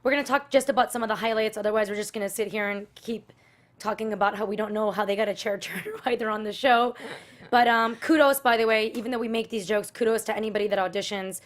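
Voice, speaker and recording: Female host, mid-pitched, rambling, moves the chat along. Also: steady tone, fast talker. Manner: chatty, rambling, many fillers. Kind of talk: casual chat, leading the segments.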